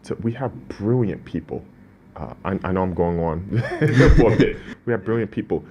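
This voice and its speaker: A man's voice: fairly low pitch, expressive, moderate pace, a little mellow, slight background noise. A man born in Ghana, speaking as a business owner in an interview, earnest and prone to restarts. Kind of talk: casual interview talk.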